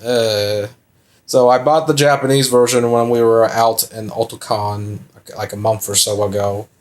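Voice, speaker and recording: A man's voice: lowish voice, steady tone, moderate pace, a little hiss or hum. A young man in his twenties co-hosting a gameplay video, chatting casually with laughs and rambling restarts. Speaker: let's play co-host.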